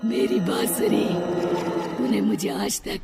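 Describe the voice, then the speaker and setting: A woman voicing a gloating witch with a magic flute, speaking in a drawn-out way in a story. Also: mid-range voice, very animated, creaky, full character voice. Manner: slow, drawn-out, gloating delivery. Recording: loud music over the voice.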